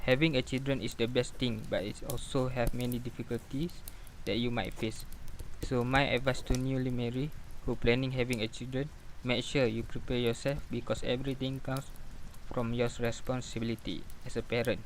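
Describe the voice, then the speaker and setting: A man's voice: mid-range voice, little pitch movement, fairly quick, slight background noise, natural voice, poor audio. A man playing a dutiful married father in an amateur radio drama, reciting formally in non-native English phrasing. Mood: earnest, dutiful. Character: weary, dutiful married father of four in confession.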